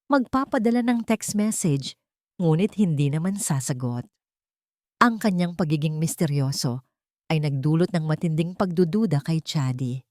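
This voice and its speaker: A woman's voice: low voice, lively intonation, moderate pace, a little mellow, dry recording, studio quality. A woman narrator reading a scripted story in a dramatic style.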